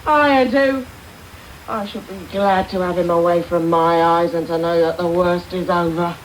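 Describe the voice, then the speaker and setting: A woman's voice: lowish voice, fairly even intonation, unhurried, slight background noise, lightly acted, low-quality recording. An actress playing a bitter, defiant young woman of the London underworld in a radio drama, with a London accent, on an off-air tape.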